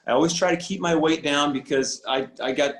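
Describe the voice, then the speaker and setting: A man in his forties, a high school football coach, talking casually on a video call, rambling with many restarts and fillers. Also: brisk, a bit bright. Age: 40s. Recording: roomy echo on video call audio.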